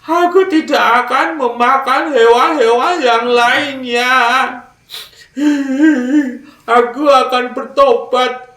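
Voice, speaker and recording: A man voicing a wily crocodile who begs and schemes in a wailing, drawn-out whine for a children's fable. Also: very high voice, fairly even intonation, pushed and bright, pitched above the performer's own voice, exaggerated voice. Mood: pained pleading. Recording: roomy echo, distant mic.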